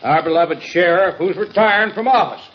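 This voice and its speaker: An actor playing a crafty, back-slapping small-town politician giving a folksy, declamatory campaign speech in an old-time radio Western. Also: male voice, high-pitched, moderate pace, close to an everyday voice. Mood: hearty, folksy. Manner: folksy, glad-handing, declamatory. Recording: muffled old radio recording, crowd, noise.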